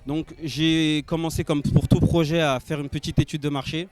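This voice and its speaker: A man's voice: fairly high pitch, flat delivery, moderate pace, a little mellow. A man born in Senegal and raised in France, speaking hesitantly with many fillers in an outdoor testimonial. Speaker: nurse and investor. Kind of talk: promotional testimonial.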